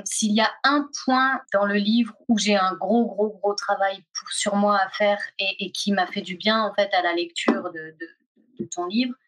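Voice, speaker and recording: A woman with a mid-range voice reflects slowly in her answer, pausing and filling gaps with hesitations as she searches for words. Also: medium pitch, fairly bright tone, poor audio. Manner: reflective, halting, many fillers. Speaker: guest, a reader of the book. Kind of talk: casual interview answer.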